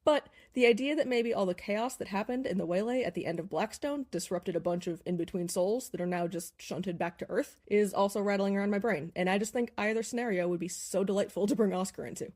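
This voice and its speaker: A woman's voice: mid-range voice, brisk, few pauses, a little mellow. A woman giving emphatic, casual fan commentary in a listener voice submission.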